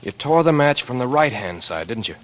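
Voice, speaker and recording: Actor as animated, anxious young American amateur sleuth. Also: male voice, medium pitch, brisk, close to an everyday voice. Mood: intent, explaining. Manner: terse, clipped deductive phrases. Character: anxious young man turned amateur sleuth, earnest and observant. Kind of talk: 1940s radio acting. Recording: noisy old radio drama recording.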